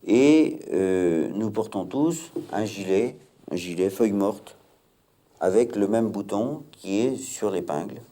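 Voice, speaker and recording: A man from Maine-et-Loire, master of a hunting pack, explaining things at a measured pace with frequent hesitations in a documentary interview. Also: lowish voice, expressive, dark tone. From Maine-et-Loire, France.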